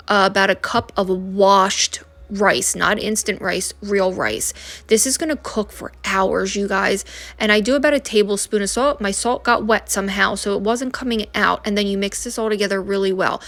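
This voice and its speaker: A young woman chatting casually as she walks through a family recipe step by step, with unsure little asides. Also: medium pitch. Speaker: vlogger. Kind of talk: casual cooking vlog.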